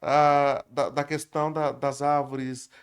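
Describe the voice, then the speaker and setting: A Brazilian man hosting a conversational podcast interview, feeling his way into roundabout questions with pauses. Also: a little high, level tone, smooth.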